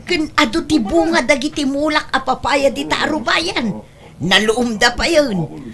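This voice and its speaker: Woman playing slow, lively Ilocano village auntie. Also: sits high, crowd noise. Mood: jovial, generous. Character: hospitable, generous village auntie. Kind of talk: Ilocano comedy radio drama acting.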